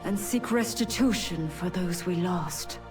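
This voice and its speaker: A woman playing a solemn, authoritative winged paragon in a fantasy game, speaking in a measured, formal way. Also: fairly low pitch, natural voice. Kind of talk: fantasy video game voice acting. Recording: game music behind the voice.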